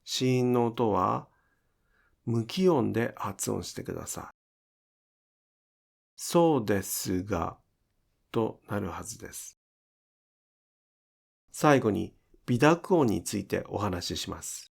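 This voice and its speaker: A man teaching pronunciation in an instructional style, enunciating deliberately and carefully for language learners. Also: fairly low pitch, lively intonation, creaky, slightly rough. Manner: deliberate, careful enunciation with demonstrated example words.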